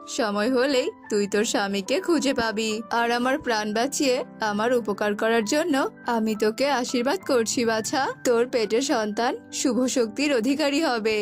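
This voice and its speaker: An old holy bird sage from a children's cartoon, played by a woman, speaks in a high voice. The manner is fond and solemn, like an elder blessing a child. Faint music plays behind. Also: natural voice. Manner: addresses listener fondly as child, solemn blessings.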